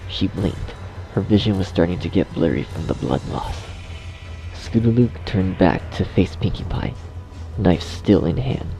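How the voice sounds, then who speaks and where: A man's voice: deep voice, lively intonation, a little slow, vocal fry, poor audio. A man reading scripted horror story narration as the narrator. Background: music.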